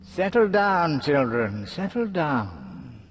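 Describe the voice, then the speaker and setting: Gleeful, theatrical, taunting villain, swooping pitch. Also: male voice, sing-song, a little hiss or hum. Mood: amused condescension. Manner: taunting, theatrical, drawn-out sarcastic delivery. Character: gleeful mocking theatrical villain, a trickster schemer. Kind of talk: video game cutscene villain acting.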